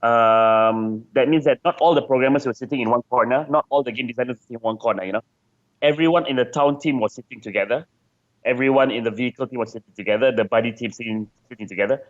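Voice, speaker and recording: A man's voice: mid-range voice, fast talker. Dull-sounding male game designer in interview. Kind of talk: casual interview talk.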